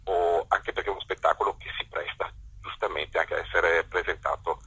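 A man being interviewed as a theatre director over a telephone line, speaking spontaneously and haltingly, repeating words as he forms his sentences. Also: low voice, steady tone, bright, forceful tone, slightly rough, dry recording. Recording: tinny, harsh telephone line.

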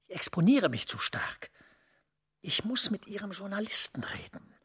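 An actor playing a commanding senior official in a German radio play, husky and breathy, speaking deliberately and dropping to near-whispers. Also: male voice, high voice, big pitch swings, pushed and bright, heavily acted. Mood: stern, calculating. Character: commanding male senior official, guarded, urgent, conspiratorial.

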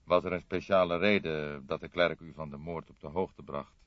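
A man voicing a somber older male police detective in an audiobook, with a slow, deliberate manner. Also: a bass voice, fairly even intonation, dry recording. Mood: slow, probing. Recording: dull, dry lo-fi audiobook recording.

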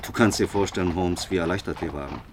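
An actor playing a proud, earnest young gentleman, a well-bred son, in a radio drama. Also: sits low, fast talker, background noise. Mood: troubled, narrating.

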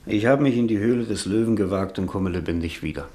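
An actor in a German radio play, playing a weary, irritable middle-aged writer with a sardonic, self-pitying manner. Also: male voice, lowish voice, fast talker, mellow, close to an everyday voice. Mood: weary, gloomy.

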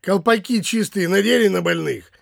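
Self-assured provincial judge, high-pitched, creaky, unworried, declamatory, theatrical audio play. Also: male voice, normal speed, fairly bright tone, dry recording, clean studio sound, heavily acted. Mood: smug, unworried. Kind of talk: theatrical comedy audio drama acting.

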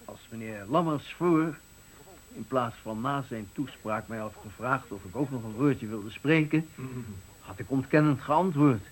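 A man's voice: mid-range voice, a little slow, close to an everyday voice, rough recording. A man playing an older retiring worker, dignified and frank, speaking formally and pointedly in a Dutch radio play. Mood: indignant, resolute.

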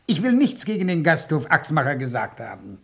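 An actor playing a pedantic, authoritarian older school headmaster in a comedic radio play, pompous and admonishing. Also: male voice, on the high side, wildly swooping pitch, fairly quick, vocal fry, a bit bright, slightly rough, exaggerated voice. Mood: pompous lecturing.

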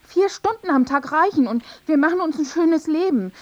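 A woman's voice: sits high, lively intonation, moderate pace, a little mellow, muffled recording. An actress playing an anxious, fed-up girlfriend who complains and reproaches in naturalistic radio play dialogue. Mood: reproachful. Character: Bärbel, nagging, anxious, fed-up live-in girlfriend.

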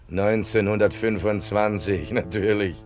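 A man's voice: lowish voice, a little slow, darkish tone, soft background music, close to an everyday voice. An actor in a radio play playing an easygoing, courteous Spanish harbour policeman, a little ponderous and hesitant. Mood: pondering.

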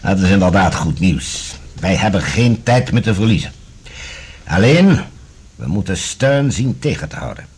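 A grave, secretive official in a sci-fi radio drama. The voice is low and very rough with huskiness, the delivery deliberate and worried. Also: male voice, sing-song, darkish tone, exaggerated voice. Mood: pensive. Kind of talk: Dutch radio drama acting.

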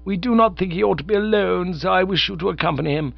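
A man's voice: high-pitched, quick pace, vocal fry, no echo, voice pushed up. A man voicing a stern, pompous middle-aged aunt who lectures loftily and stalls over delicate words. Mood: imperious. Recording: slightly dull lo-fi recording.

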